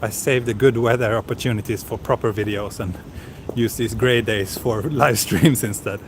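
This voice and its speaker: A man's voice: lowish voice, normal speed. A man chatting casually while walking outdoors on a city street, reading viewer comments aloud with plenty of hesitant fillers. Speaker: livestream walking vlogger. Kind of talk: casual live-stream chat. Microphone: handheld camera with small recorder mic and wind cover. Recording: street noise around handheld mic. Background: city street ambience.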